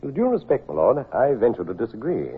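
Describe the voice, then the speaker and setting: A man's voice: medium pitch, huge pitch swings, quick pace, breathy edge, exaggerated voice. An actor playing a loyal British naval captain, formal and deferential to his superior, with an English accent, in a radio drama. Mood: respectful, loyal. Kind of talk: 1950s radio drama acting. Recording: dull, slightly noisy old radio.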